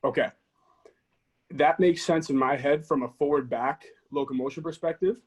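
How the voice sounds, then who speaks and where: A man's voice: fairly high pitch, moderate pace, breathy edge. A man taking part in a group call, asking questions and reasoning aloud in a casual way.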